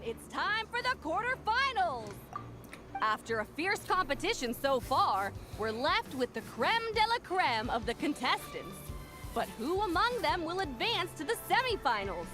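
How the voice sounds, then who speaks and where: Very high-pitched, showy tournament announcer. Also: female voice, exaggerated voice. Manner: theatrical, rousing. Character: showy female tournament announcer, excitable and grand. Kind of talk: video game voice acting, arena announcing. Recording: game music behind the voice. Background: game music.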